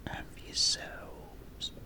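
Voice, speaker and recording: A man whispering a rambling, gently enthusiastic ASMR chat in a breathy, hushed voice, close to the microphone. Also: extremely slow. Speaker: ASMR creator.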